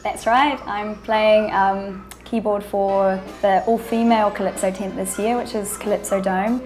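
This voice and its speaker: A woman's voice: mid-range voice, fairly even intonation, unhurried, darkish tone. A woman with a New Zealand accent chatting enthusiastically as a musician being interviewed. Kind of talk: casual interview. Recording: echoey room.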